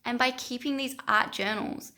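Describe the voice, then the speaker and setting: A woman, chatting casually and self-mockingly as an art vlogger while going a little hoarse. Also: fairly high pitch, steady tone, moderate pace, sharp tone. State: losing her voice. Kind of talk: casual vlog commentary.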